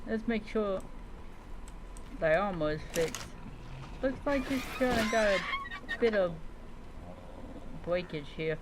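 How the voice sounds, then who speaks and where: A woman streamer role-playing in character as a dragon rider in a game, speaking haltingly and repeating words. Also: mid-range voice, wildly swooping pitch, background noise. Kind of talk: in-character game roleplay storytelling, talking to pet creatures.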